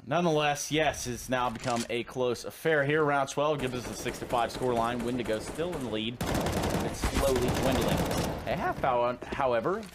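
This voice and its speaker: A man's voice: mid-range voice, moderate pace, background noise. A man calling esports action play by play, with dry, teasing humor between the calls. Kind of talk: play-by-play commentary.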